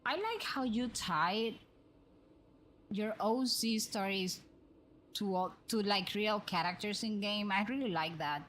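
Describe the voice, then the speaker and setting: A woman's voice: medium pitch, slow pace, fairly bright tone, slight background noise. A woman streaming a video game and chatting casually as she plays. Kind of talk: casual livestream chat while playing. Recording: music under the voice.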